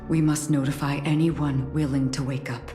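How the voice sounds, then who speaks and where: An actress playing a calm, earnest, dignified woman in a video game, speaking in a measured, courteous way. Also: a bass voice, reverberant, music in the background. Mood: earnest, resolute. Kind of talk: video game story dialogue.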